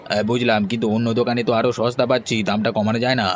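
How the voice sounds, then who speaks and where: A man's voice: mid-range voice, flat delivery, rapid-fire, a little hiss or hum, natural voice. A man playing a blunt, bargaining villager in a cartoon, informal and matter-of-fact. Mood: haggling. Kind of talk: cartoon voice acting.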